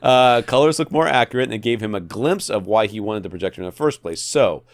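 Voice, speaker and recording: A man with a low voice and very animated intonation, recorded close and dry. He talks as a podcast co-host in a casual, conversational way with a wry streak. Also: fast talker, no echo. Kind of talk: casual home theater discussion.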